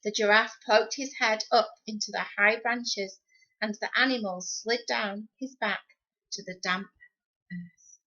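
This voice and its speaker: A woman reading a children's story expressively, with deliberate, dramatic phrasing. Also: medium pitch, fairly even intonation, sharp tone. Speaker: storybook reader. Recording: slightly dull, lo-fi sound.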